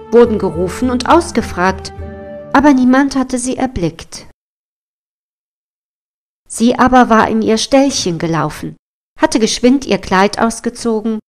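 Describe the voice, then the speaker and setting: A female storyteller with a medium-pitched voice reads a fairy tale. Her narration is scripted, and music plays behind it.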